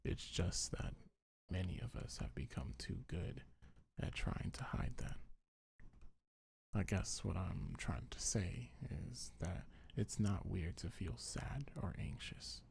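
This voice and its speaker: Deep, breathy ASMR man, very creaky. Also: very animated, slow. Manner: gentle, soothing, reassuring. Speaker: ASMR creator reading a comfort script. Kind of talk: ASMR affirmations, soft-spoken and half-whispered.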